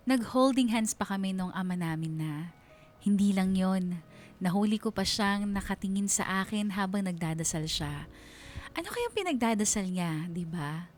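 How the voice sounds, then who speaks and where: A woman's voice: medium pitch, close to an everyday voice. An actress playing a smitten young woman narrating her own love story for a radio drama, confiding and giddy.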